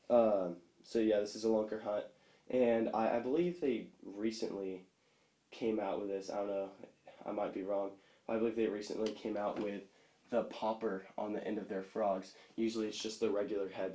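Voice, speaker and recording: A man's voice: fairly low pitch, a little mellow. A young man hosting a fishing channel, casually reviewing lures at a table and rambling with lots of fillers and restarts. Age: young adult. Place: small room indoors, seated at a table. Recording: roomy echo, faint background noise.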